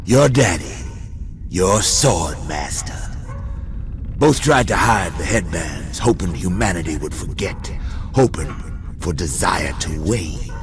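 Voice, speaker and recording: A man's voice: low-pitched, lively intonation, takes it slowly, heavily acted. A man playing a menacing, godlike game villain who speaks in a theatrical, grandiose, taunting manner. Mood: taunting, goading. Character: menacing, godlike male villain preaching desire, power and revenge. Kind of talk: dramatic video game monologue. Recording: game music behind the voice.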